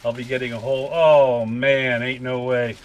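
An American man in his fifties talking in a folksy, unhurried way in a casual vlog from a truck cab. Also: mid-range voice, little pitch movement, slight background noise. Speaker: vlogger. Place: inside a parked truck cab.

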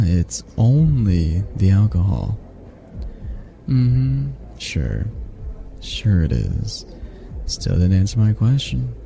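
Guy playing a teasing half-dragon college dude, flirty asmr roleplay, low voice n kinda gravelly. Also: warm tone, heavily acted. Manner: teasing, sardonic, unhurried. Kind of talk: intimate ASMR roleplay acting.

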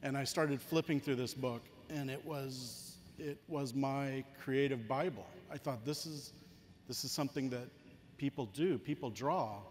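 A man in his forties on a panel, telling a personal story in an earnest, halting way. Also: mid-range voice, a little mellow. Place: large convention stage, indoors. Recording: long hall reverb, faint noise.

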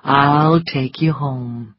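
A woman voicing a suave, self-assured male rogue in a story, smooth and teasing. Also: low voice, expressive, slow, dry recording, dull audio, exaggerated voice. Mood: gentle.

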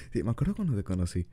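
A man's voice: medium pitch, mellow, slightly rough, dry recording. A young man improvising an ASMR boyfriend roleplay, rambling chattily and laughing often into a close mic. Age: young adult.

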